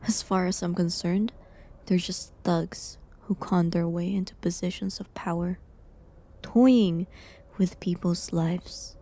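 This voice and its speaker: A woman playing a falsely accused village healer in a medieval audio drama, sad and resigned, speaking softly and hesitantly with pauses. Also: on the low side, steady tone. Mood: bitter. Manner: soft, hesitant, breathy delivery with pauses. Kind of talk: dramatic audio roleplay monologue, medieval setting.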